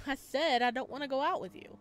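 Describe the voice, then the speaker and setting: A woman's voice: sits high, fairly quick, a bit bright, soft background music, voice pushed up. A woman voicing a wary, defiant visual novel protagonist, blunt and indignant. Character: wary, irritated, defiant sick protagonist of horror game.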